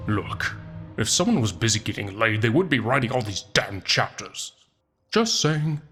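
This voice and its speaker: Sardonic devil , played by a man, comic audiobook narrator: theatrical, deliberate, swooping delivery, wry asides, faint music underneath. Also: on the low side, animated, fairly bright tone. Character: sardonic, foul-mouthed male Satan reading an old book aloud.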